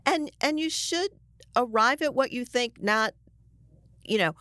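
A woman's voice: a little high, lively intonation, unhurried, fairly bright tone, no room sound, studio quality. A woman journalist speaking as a guest in a conversational interview, earnest and emphatic as she makes her points.

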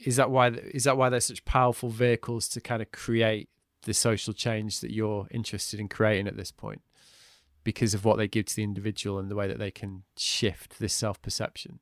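Low-voiced man hosting a podcast. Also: level tone, dry recording, studio quality. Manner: thoughtful, halting, trails off. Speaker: podcast host. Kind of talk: reflective interview chat.

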